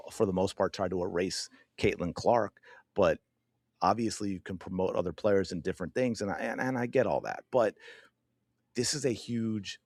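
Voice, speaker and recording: Male sports podcast host, slightly low voice, hoarse, emphatic, dry close mic. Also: no room sound. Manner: emphatic, animated, addresses viewers directly. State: losing his voice. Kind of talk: opinionated sports commentary.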